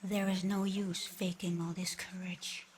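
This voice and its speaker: A woman acts out a reclusive, haughty she-dragon for an ASMR roleplay. She talks slowly and deliberately in a flat, controlled line, mocking and menacing with a streak of curiosity. Some background noise sits under the voice. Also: on the low side, natural voice. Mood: annoyed, threatening.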